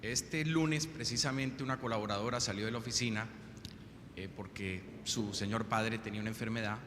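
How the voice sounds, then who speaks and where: A man from Rica, a businessman in the audience putting an earnest question to a panel after telling an anecdote. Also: moderate pace. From Costa Rica. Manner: earnest, tells an anecdote before his question, with fillers. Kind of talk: question from the floor at a conference. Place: large conference hall. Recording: long hall reverb with faint low rumble.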